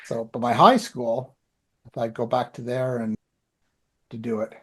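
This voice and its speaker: A Canadian man co-hosts a casual podcast, sharing personal updates. His voice sits at a medium pitch, and he rambles along in a self-deprecating, conversational way. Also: level tone, unhurried, a crowd in the background. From Ottawa area, Canada. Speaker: podcast co-host and author.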